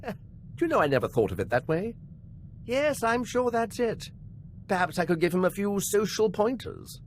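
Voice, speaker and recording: An eager, bookish archaeologist, fussy and chatty. His highish voice leaps about with scholarly enthusiasm in a video-game scene. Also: sing-song, moderate pace, a little hiss or hum. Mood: cheerful.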